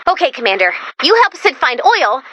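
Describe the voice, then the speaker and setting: A woman's voice: high-pitched, sing-song, bright, forceful tone, no room sound, heavily acted. A woman voicing a perky, nervous, geeky female robot assistant in a video game, chatty, quirky and easily flustered. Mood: flustered, smitten. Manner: flustered, quirky, halting then gushing. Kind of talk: animated video game character voice lines.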